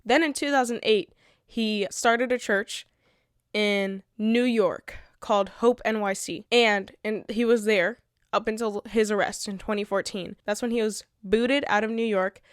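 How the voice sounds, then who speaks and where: Congested woman host, earnest and blunt, smooth clear tone in a dry close sound. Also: medium pitch, fairly even intonation, a little slow, no room sound. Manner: earnest, blunt, reads from notes. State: congested from recent sickness. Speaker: channel host. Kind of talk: casual commentary video.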